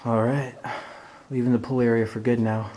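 Young adult male explorer, chatty and curious, mid pitch, faint noise behind. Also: little pitch movement, normal speed, dark tone. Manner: chatty, speculative, easily impressed. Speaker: urban explorer. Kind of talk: casual exploring vlog. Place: large abandoned resort building, indoors. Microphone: handheld camera.

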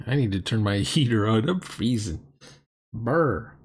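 A man's voice: fairly low pitch, expressive, a little slow, slightly rough. A middle-aged man giving blunt, mocking commentary as he reacts to a game, in a sarcastic manner. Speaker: host reacting to a recorded roleplaying game.

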